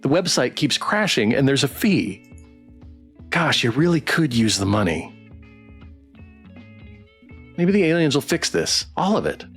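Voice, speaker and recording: A man hosting a casual game livestream, reading text aloud and chatting with playful, expressive reactions. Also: medium pitch, unhurried, pauses often, husky, faint music behind. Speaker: game streamer and host.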